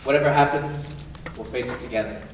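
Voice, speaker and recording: A man's voice: medium pitch, fairly quick, background noise, close to an everyday voice. A man playing an anguished, protective father who works as a prison guard, in a staged musical reading. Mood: earnest, protective. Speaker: musical theatre actor-singer. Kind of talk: live staged musical reading, spoken and sung. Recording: echoey stage from far off, music behind.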